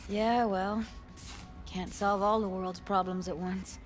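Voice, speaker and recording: A woman's voice: medium pitch, level tone, slow, soft background music, close to an everyday voice. An actress playing a worried, weary, street-smart young woman in a video game, speaking frankly. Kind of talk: video game dialogue acting.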